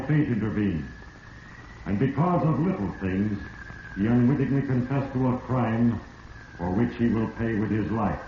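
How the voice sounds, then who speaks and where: An actor playing Fate, a grave all-knowing narrator passing stern judgment in a solemn, portentous 1940s radio mystery style. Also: male voice, mid-range voice, far from the mic, close to an everyday voice. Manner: solemn, portentous second-person address. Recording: echoing, muffled, noisy vintage radio recording.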